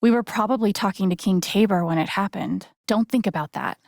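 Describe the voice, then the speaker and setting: A woman voicing an earnest, gentle young game heroine with a wry playful side, in a casual, conversational way. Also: mid-range voice, fairly quick, gravelly, no echo, studio quality, lightly acted. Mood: casual, upbeat. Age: young adult. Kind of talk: naturalistic video game voice acting.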